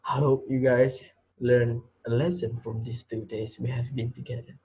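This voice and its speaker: A menacing teacher who sees himself as a reaper, acted by a man in an amateur drama reading. He interrogates and lectures in a taunting way at a medium pitch. The recording is dull and lo-fi. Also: level tone, normal speed, dark tone, husky. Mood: grimly resolved. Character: menacing male teacher, self-appointed reaper judging his students. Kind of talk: amateur reader's theater acting.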